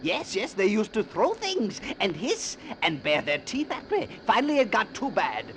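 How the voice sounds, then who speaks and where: High-pitched, melodramatic old-radio ex-thespian. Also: male voice, huge pitch swings, moderate pace, a bit bright, exaggerated voice. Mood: nervous, wounded. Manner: florid, melodramatic, theatrical delivery. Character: high-strung chatterbox former actor, lighthouse keeper, theatrical and fussy. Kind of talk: old-time radio drama acting. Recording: old radio recording with noisy ambience.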